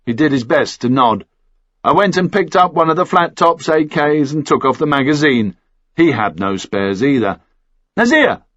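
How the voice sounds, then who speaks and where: A man's voice: on the high side, animated, moderate pace, fairly bright tone, no echo, highs cut off. A man reading a first-person action thriller as scripted audiobook narration.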